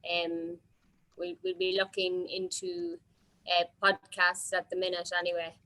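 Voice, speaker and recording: A woman's voice: lowish voice, very animated, unhurried, creaky, a bit bright, rough recording. An Irish woman organiser answering questions informally, explaining things in a hesitant way.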